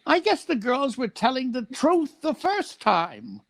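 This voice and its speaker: An actor playing a blustery, penny-pinching older husband in a 1940s radio sitcom, husky and halting. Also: male voice, very high voice, wildly swooping pitch, full character voice.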